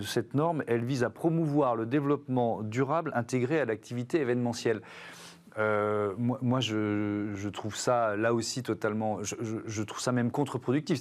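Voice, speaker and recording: A man's voice: mid-range voice, a little mellow. French TV host man, conversational. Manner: conversational, frequent restarts. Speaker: TV show host. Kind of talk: interviewing guests on air.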